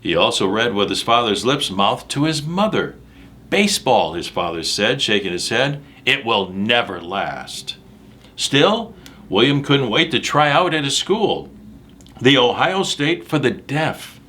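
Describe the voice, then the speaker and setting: A man's voice: mid-range voice, sing-song, a little slow, bright, forceful tone. A man reading a picture book aloud to children in a dramatic, emphatic way, speaking directly to his young listeners. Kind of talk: animated read-aloud with asides about the pictures.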